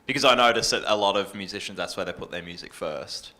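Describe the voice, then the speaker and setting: A man's voice: deep voice, quick pace, pushed and bright. A man with an Australian accent giving casual, informal advice as a radio presenter on a workshop panel. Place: live panel workshop indoors.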